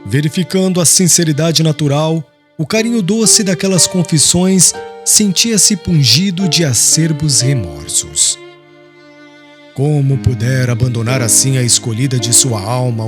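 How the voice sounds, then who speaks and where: A man narrating an audiobook dramatically, with solemn, literary, deliberate phrasing. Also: on the high side, expressive. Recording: prominent music under voice.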